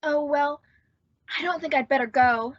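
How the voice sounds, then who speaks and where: A woman's voice: high voice, normal speed, lightly acted. A woman playing a sweet, naive teenage daughter in an old-time radio sitcom, with an earnest, girlish, pleading manner. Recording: dull, lo-fi audio.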